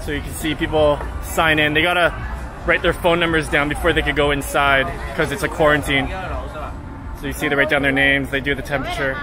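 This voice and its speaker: A man's voice: a little high, flat delivery, brisk, music in the background, a crowd in the background. A Canadian man in his thirties, vlogging casually while walking through city streets and bars at night, easygoing and upbeat, with handheld camera sound. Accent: Canadian English. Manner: chatty, easygoing, upbeat narration with fillers. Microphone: handheld camera at arm's length.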